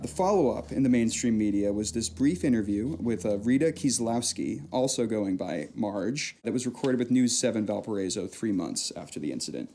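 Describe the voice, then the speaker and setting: A man's voice: lowish voice, lively intonation, moderate pace, few pauses, warm tone, a little hiss or hum. A man playing an awkward, earnest investigative reporter in a comic audio drama, hesitant and pedantic.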